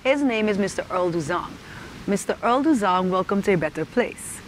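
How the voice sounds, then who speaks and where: A woman's voice: medium pitch, expressive, normal speed. A woman hosting a talk show, introducing and questioning in a conversational interview style. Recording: faint background noise.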